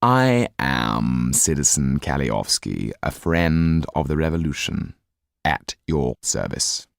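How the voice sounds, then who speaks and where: A man voicing a sinister, coldly composed count with a smooth, deliberate, menacing manner. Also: rumbling low, sing-song, no echo, studio quality, voice pushed down, full character voice. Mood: deadly earnest.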